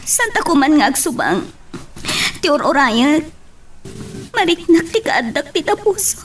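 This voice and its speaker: A woman's voice: sits high, very animated, unhurried. A woman with an Ilocano accent playing a hurt, pleading woman in a family love drama, with emotional delivery. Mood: earnest pleading. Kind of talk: Ilocano radio-style drama acting.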